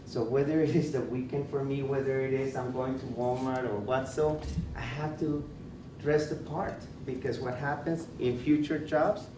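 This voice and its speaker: A man's voice: medium pitch, steady tone, moderate pace. A man with a Spanish accent, a school principal giving interview advice in an informal workshop, repeating words and restarting often. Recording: echoey room, far from mic, noisy.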